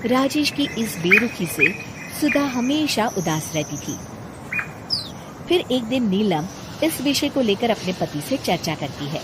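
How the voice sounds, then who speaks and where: A woman's voice: on the high side, very animated, a little slow. A woman narrating a scripted cartoon moral story, with background noise behind her.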